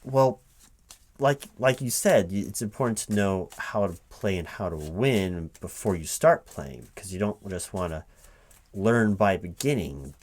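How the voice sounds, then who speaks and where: Hesitant, low-voiced man in a roleplay. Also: lively intonation, a little slow. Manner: hesitant, stammering, repeating words. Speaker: roleplay performer as a recruiting manager. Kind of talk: one-sided interview roleplay, soft-spoken ASMR at normal voice.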